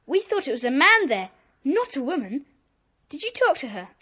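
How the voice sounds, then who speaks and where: A woman's voice: high voice, very animated, moderate pace, a bit bright. A woman playing a bossy, indignant schoolboy club leader in a children's audio drama, stern, scolding and self-important. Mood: questioning, impatient.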